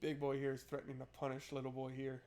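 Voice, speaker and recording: A man's voice: medium pitch, completely flat delivery, fast, a little mellow, a little hiss or hum. A man doing casual, joking playthrough commentary and reading game narration aloud in an informal way. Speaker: game streamer.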